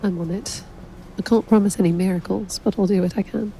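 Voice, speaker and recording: A woman acting in an audio drama as an exacting, frank royal calligrapher with a measured, dry manner. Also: mid-range voice, steady tone, gravelly, mellow, a little hiss or hum, close to an everyday voice. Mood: resigned. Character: exacting, wry female royal calligrapher, deferential but frank.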